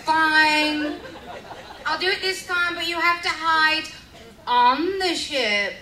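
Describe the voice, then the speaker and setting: Lady playing grudging crew girl in a radio play, really slow theatrical delivery, loud crowd and echo. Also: high voice. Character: grudging young female crew member counting for hide and seek. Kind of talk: amateur live radio play. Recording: echoey live stage, loud crowd noise.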